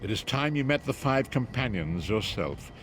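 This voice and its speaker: An actor playing a frail, blind old prophet and mystic guide in a fantasy video game, speaking gravely in archaic, oratorical phrases. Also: male voice, medium pitch, big pitch swings, normal speed, slight background noise, close to an everyday voice. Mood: grave, portentous. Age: older. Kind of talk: dramatic fantasy game voice acting.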